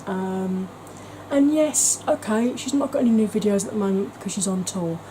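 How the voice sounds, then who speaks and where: Mellow woman chatting warmly. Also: mid-range voice, normal speed, slight background noise, poor audio. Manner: chatty and gushing, with frequent ums. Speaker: beauty vlogger. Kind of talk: casual vlog chat.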